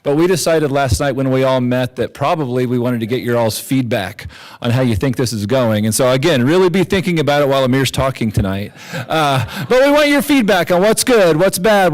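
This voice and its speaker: A man gives informal announcements to a class in a relaxed, everyday way. He speaks in a middle register and keeps correcting himself as he goes. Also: mid-range voice, rough recording. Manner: casual, self-correcting. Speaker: class organizer. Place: synagogue chapel.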